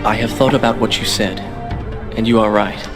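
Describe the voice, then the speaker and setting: An actor playing a determined, haunted young prince and warrior in a dramatic video game. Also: male voice, fairly low pitch, fairly even intonation, moderate pace, close to an everyday voice. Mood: grim resolve. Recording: loud music over dialogue.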